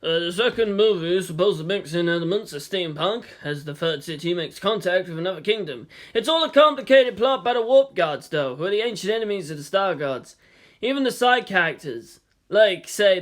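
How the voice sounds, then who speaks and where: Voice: mid-range voice, expressive, moderate pace, fairly bright tone, close to an everyday voice. A narrator as a wry, expounding male film director. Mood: explaining.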